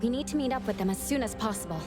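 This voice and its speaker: Actress as a cautious young female sidekick, fairly high voice, polite, practical, composed, anime game, music underneath. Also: expressive, rapid-fire, close to an everyday voice. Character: level-headed, cautious young female companion. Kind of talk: anime-style video game voice acting.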